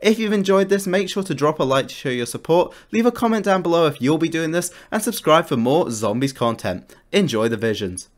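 A man's voice: a little high, lively intonation, fairly quick, hardly any pauses. A man narrating a scripted video game guide in an informal, enthusiastic way, like a YouTuber. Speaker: gaming YouTuber.

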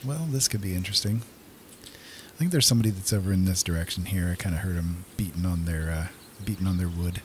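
A man's voice: deep voice, fast, slight background noise. A man doing casual let's play commentary as a gaming host, thinking aloud as he plays. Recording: game music behind voice. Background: game music and outdoor nature sounds.

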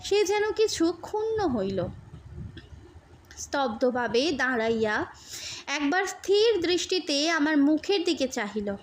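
A woman reads a literary story aloud as narrator. Her voice is quite high, and she takes her time with measured, expressive phrasing. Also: a little hiss or hum. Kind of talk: scripted literary audiobook narration.

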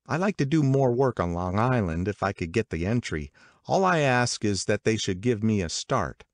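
A man's voice: lowish voice, sing-song, normal speed, dry recording, studio quality, pitched above the performer's own voice. A man voicing an eager, self-promoting male artist in an audiobook, earnest and a little self-important.

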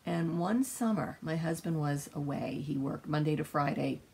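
An older woman around her sixties chatting casually and emphatically, telling stories with a husky, gravelly voice. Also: low-pitched, moderate pace. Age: older, around 60s. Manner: animated, emphatic storyteller. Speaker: co-host, mother discussing books. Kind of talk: casual rambling chat. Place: small book-lined room indoors.

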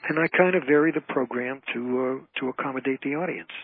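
A man's voice: mid-range voice, fast, breathy edge, dry recording. A man giving an explanatory, anecdote-telling interview as a guest entertainer. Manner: storytelling, explanatory. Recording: narrowband phone-like radio line.

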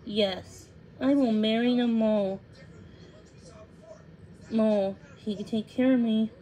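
Woman playing a tiny young girl, storybook character, high voice, earnest, tender-hearted, pleading, emotional, unhurried. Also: steady tone, mellow, poor audio. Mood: resigned.

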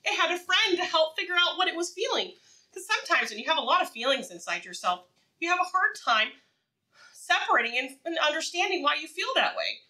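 High, animated woman preschool teacher. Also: far from the mic, low-quality recording. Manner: animated, encouraging, asks questions and pauses for answers; simple repetitive child-directed phrasing. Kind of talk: read-aloud lesson for young children.

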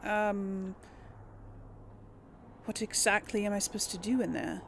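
Slow, creaky-voiced woman playing a game. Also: medium pitch, fairly even intonation, a little hiss or hum. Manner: informal, chuckling, musing aloud. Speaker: gaming channel host. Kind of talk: casual role-play game commentary.

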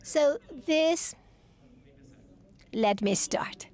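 Halting woman interpreting a tech talk. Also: sits high, animated, darkish tone. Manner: halting, stop-start phrasing with pauses and restarts. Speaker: simultaneous conference interpreter. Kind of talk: live interpretation of a technical conference talk.

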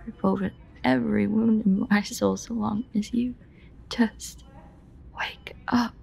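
A woman playing an obsessive, guilt-ridden yandere lover in a whispered audio roleplay, halting, breathy, intimate and pleading. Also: mid-range voice, fairly even intonation, a little mellow, heavily acted. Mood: desperate, pleading. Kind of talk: whispered dramatic audio roleplay addressed to a listener.

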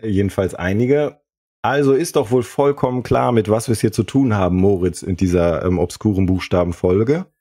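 Casting: man with a low, rough-edged voice, conversational podcast co-host. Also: moderate pace, dark tone. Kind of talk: casual chat.

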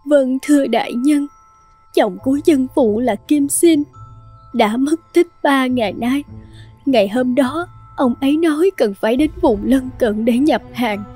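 A woman voicing a worried, humble village wife who pleads respectfully in an animated folk tale. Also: high voice, a little slow, slightly rough, soft background music. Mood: anxious, pleading.

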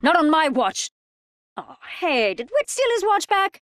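An actress playing a cheeky, mischievous young woman engineer in a video game, cocky and lively. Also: high voice, measured pace, dry recording, clean studio sound, heavily acted. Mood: cocky defiance.

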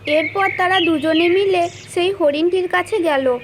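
Woman telling a childrens tale, super high pitched, slow, birds and noise in the back. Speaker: storyteller. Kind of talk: scripted children's story narration. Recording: clearly noisy, birdsong behind. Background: birdsong and nature sounds.

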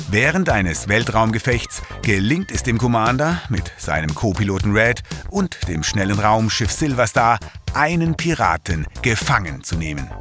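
A man narrates a sci-fi audio drama in a dramatic, scripted style. His fairly low voice moves expressively up and down. Music plays clearly behind him.

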